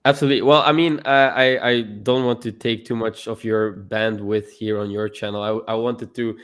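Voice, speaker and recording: A man's voice: lowish voice, steady tone, normal speed, fairly bright tone, clear, resonant tone. A young man from Belgium, a guest on a live stream, talking in an earnest, casual way and often repeating words as he starts a sentence.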